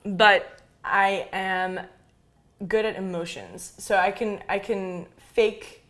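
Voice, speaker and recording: Mid-pitched woman guest, native French. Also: steady tone, takes it slowly, fairly bright tone. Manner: laughs often, eats her words. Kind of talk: casual chat. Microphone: lapel.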